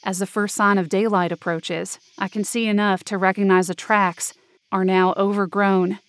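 A woman's voice: mid-range voice, flat delivery, normal speed, dry recording. A woman narrates a dramatic first-person story in an earnest, suspenseful way. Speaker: narrator of a fiction podcast, in character. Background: music under the voice.

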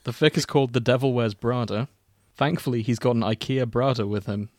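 A man's voice: medium pitch, fairly even intonation, a little fast, dry recording. A man hosting a casual comedy chat with friends in a wry, jokey way. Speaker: podcast host.

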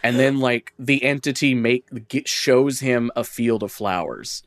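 A man's voice: medium pitch, unhurried, dry recording, clean studio sound. A man co-hosting a podcast, casually chatting and reading game lore aloud, with sweary, wry asides. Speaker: podcast co-host.

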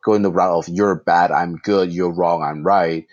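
Deep-voiced man, reflective and rambling. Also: steady tone, unhurried, lo-fi sound. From Philippines. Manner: reflective, rambling, restarts sentences. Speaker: therapist. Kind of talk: casual conversation.

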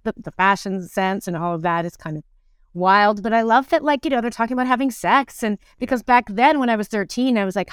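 Woman around 50 chatting in an interview, animated, really clean smooth voice, dry sound. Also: mid-range voice, no room sound. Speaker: interview guest, stage actor in musicals and plays. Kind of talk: conversational interview.

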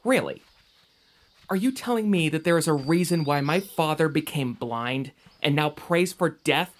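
A man's voice: a little high, expressive, moderate pace, natural voice. Earnest, doubtful young man played by an actor. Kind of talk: audio drama acting.

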